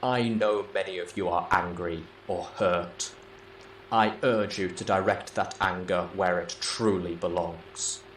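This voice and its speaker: Man voicing a low, solemn pony matriarch. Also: fairly even intonation, echoey room, slight background noise, rough recording. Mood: solemn appeal. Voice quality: low, soft. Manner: measured, solemn, deliberate. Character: stern, gentle-spoken authoritative female pony leader.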